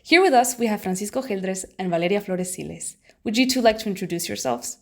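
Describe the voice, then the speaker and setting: A young woman hosts a podcast in a voice of ordinary, middle pitch. She reads her opening from a script and then moves into questions for her guests. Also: expressive, brisk, gravelly, darkish tone. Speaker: podcast host. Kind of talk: scripted podcast intro and interview.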